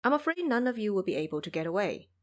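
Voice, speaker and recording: Lady doing a serious old monk guy, low and fast, calm warnings. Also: natural voice. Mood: grave. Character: grave older male Buddhist master.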